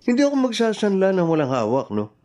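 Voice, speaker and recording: An actor playing a brazen, unrepentant middle-aged husband in a Filipino radio drama, breezy and self-justifying. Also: male voice, high voice, sing-song, fast talker, a little mellow. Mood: smug, defensive.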